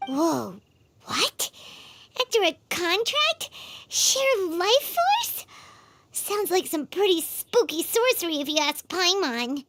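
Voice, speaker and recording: A woman voicing a tiny, excitable fairy girl companion in an anime game, exclamatory and inquisitive. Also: very high-pitched, slow pace, slight background noise, full character voice. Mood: spooked. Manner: exclamatory, inquisitive, speaks of self in third person.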